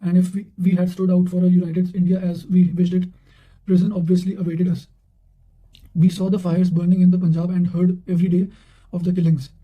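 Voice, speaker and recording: Male reader for revision notes: flat intonation, high register, occasional self-corrections. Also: brisk, low-quality recording. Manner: reads with stumbles and self-corrections. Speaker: narrator reading quotations for exam revision. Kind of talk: read-aloud quotations, study revision.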